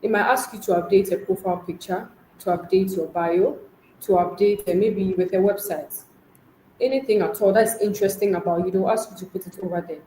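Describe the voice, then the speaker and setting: A woman's voice: medium pitch, fairly even intonation, a little fast, warm tone. A woman speaking as a webinar guest, friendly, explaining things with everyday examples. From Ghana. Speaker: guest panelist, customer experience associate. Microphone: online call mic. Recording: echoey room, faint noise.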